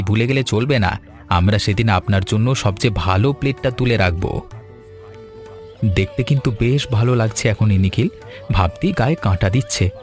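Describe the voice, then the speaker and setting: A man plays an eager young village vendor in a cartoon tale. He talks in an earnest, chatty, polite way with a fairly low pitch. Light music sits behind him. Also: lightly acted. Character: eager young fish-fry seller, friendly village man. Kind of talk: animated story dialogue.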